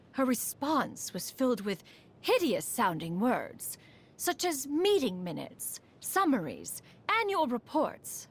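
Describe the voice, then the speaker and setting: A woman's voice: high voice, breathy edge, full character voice. A woman voicing a proud, refined, aloof ancient immortal in a video game, speaking formally and loftily. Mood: concerned, fond. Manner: formal, haughty, calls herself "one". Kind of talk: scripted video game character voice lines. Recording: music behind the voice.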